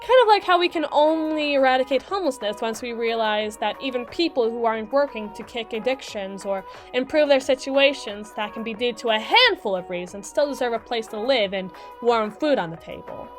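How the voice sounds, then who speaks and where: A woman performs a mermaid public attorney in an audio roleplay, pitched fairly high. She is brisk and sassy, delivering wordy, sardonic monologues with theatrical flair, with some music behind her. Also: close to an everyday voice. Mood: explaining. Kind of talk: scripted ASMR roleplay monologue.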